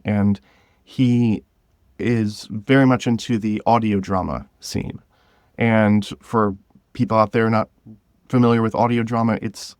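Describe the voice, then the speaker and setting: A man speaking as a podcast guest, explaining things informally and pausing to gather his thoughts. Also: fairly low pitch, no room sound, clean studio sound. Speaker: podcast guest, drama creator. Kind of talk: conversational interview.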